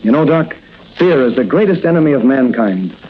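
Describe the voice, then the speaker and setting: A man's voice: mid-range voice, lively intonation, normal speed, breathy edge. An actor playing an earnest, friendly young patrolman in an old radio drama. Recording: dull old radio recording with hiss.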